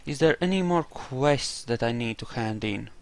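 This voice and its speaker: A man's voice: medium pitch, animated, moderate pace, clear, resonant tone, dry recording. A man giving casual commentary while he plays through a video game in a roleplaying style. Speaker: gamer doing a roleplay playthrough.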